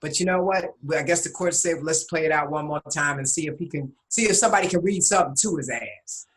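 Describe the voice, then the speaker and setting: A man's voice: fairly high pitch, fast talker, a bit bright. Man hesitantly reporting podcast news. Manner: reports items with hesitant fillers. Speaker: podcast host. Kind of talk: casual news chat.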